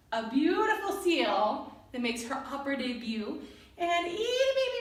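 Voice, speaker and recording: A woman reading a children's story aloud expressively and deliberately, pausing to put questions to young listeners. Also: high voice, a bit bright. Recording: roomy echo, distant mic.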